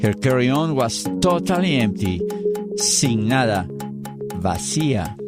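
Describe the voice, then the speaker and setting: Male podcast host, mid-pitched. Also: very animated, slow, loud music behind the voice. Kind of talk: scripted intro and conversational interviewing.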